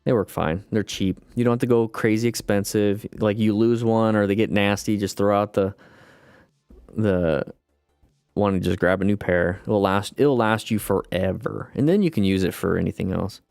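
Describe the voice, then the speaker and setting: A man's voice: lowish voice, moderate pace, vocal fry, clean tone, no room sound, studio quality, soft background music. A man in his late thirties hosting a casual livestream, chatting loosely with viewers and answering their comments. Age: 30s. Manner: rambling, relaxed, talks to chat as "guys". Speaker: livestream host.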